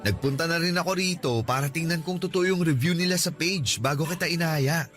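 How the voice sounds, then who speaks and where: A man's voice: on the high side, fairly quick, a bit bright, faint music behind, lightly acted. A man playing a shy earnest young man. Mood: sincere. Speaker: voice actor in radio drama. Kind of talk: classic radio-drama acting.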